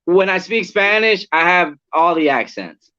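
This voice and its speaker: Bright-voiced man chatting, lo-fi sound. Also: high-pitched, unhurried, clear, resonant tone. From born in Puerto Rico, raised near Atlanta. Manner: relaxed, chatty, laughs easily. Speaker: actor and guest. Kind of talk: casual interview chat. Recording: lo-fi online call audio.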